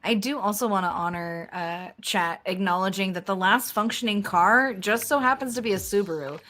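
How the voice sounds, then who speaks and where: A woman's voice: medium pitch, moderate pace, fairly bright tone. A woman co-commentating on a speedrun stream with playful, wry, enthusiastic banter.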